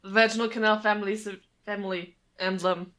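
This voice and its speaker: A woman's voice: medium pitch, fairly even intonation, a little fast, fairly bright tone. A woman in her late twenties chatting casually as a podcast co-host, blunt, sweary and sardonic. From Germany. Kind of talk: casual chat.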